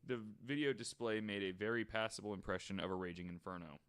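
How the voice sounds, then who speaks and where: Man, lowish pitch, bright forceful tone, casual podcast panelist, reads stories aloud. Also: quick pace, clean tone.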